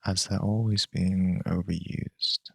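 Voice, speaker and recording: A man leading a guided meditation in a calm, measured, unhurried way with long pauses between phrases. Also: low voice, gravelly, husky, no room sound, clean studio sound.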